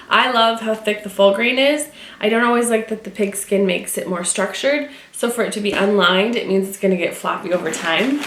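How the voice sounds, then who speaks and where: A woman from Michigan chatting casually to viewers while unboxing and reviewing a leather tote at home. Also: mid-range voice. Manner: chatty, talks to viewers while handling the bag. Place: home room. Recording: echoey room with faint noise.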